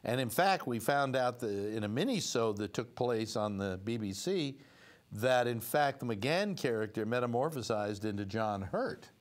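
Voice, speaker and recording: A man's voice: medium pitch, lively intonation, moderate pace. An older man hosting a talk show from a studio set, chatting in a wry, rambling way with many fillers. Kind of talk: casual talk show banter.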